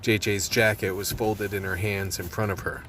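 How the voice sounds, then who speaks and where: A man's voice: lowish voice, flat delivery, moderate pace, background noise, lightly acted. A man playing a world-weary, tense vice cop who narrates a radio play in a hard-boiled manner. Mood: tense, brooding.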